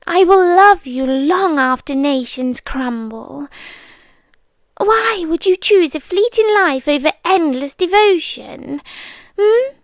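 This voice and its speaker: A British woman playing a possessive, lovesick vampire girlfriend in an intimate ASMR roleplay, speaking softly and breathily, often slipping into whispers, with a coaxing, lingering delivery. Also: very high-pitched, lively intonation, slow pace, no echo, clean studio sound, full character voice. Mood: possessive devotion. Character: possessive, lovesick immortal vampire girlfriend, tender yet menacing.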